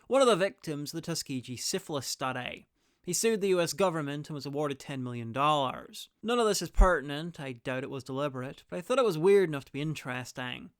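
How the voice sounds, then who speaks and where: A man's voice: on the high side, a bit bright, clean tone, no echo. A man presenting a scripted guide, narrating with dry, opinionated asides. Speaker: video presenter and reviewer.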